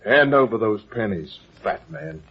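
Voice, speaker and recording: An actor playing a menacing, suspicious murderer with a gun in a vintage radio crime drama, curt and threatening. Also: male voice, on the low side, animated, measured pace, husky. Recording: muffled vintage radio recording.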